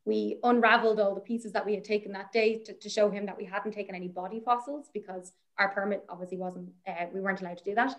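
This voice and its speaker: A woman's voice: mid-range voice, fairly even intonation, fast talker, hardly any pauses. A young Irish woman researcher, chatting as a guest and telling a story in a rambling way, with plenty of laughter and fillers. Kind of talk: casual anecdote on a podcast.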